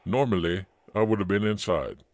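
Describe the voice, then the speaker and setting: A man's voice: fairly low pitch, normal speed, darkish tone, no room sound, lightly acted. A man playing a friendly, earnest blind street informant in an old-time radio drama, talking in a folksy way.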